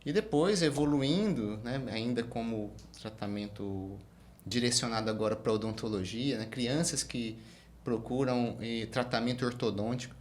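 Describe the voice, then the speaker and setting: A middle-aged man hosting a conversational interview, building long questions in short, measured phrases. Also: medium pitch, a little hiss or hum. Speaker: interview host.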